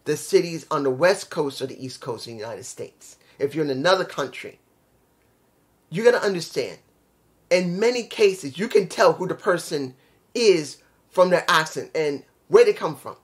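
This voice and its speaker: A man's voice: a little high, lively intonation, normal speed. Blunt, rambling New York man. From New York City. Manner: rambling, blunt, repeats words, laughs at himself. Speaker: wrestling show reviewer. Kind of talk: casual solo video review.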